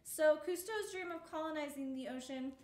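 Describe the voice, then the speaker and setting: High-voiced woman reading a children's story. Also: normal speed, darkish tone, echoey room, slight background noise. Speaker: storyteller reading a picture book aloud. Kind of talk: children's storytime read-aloud.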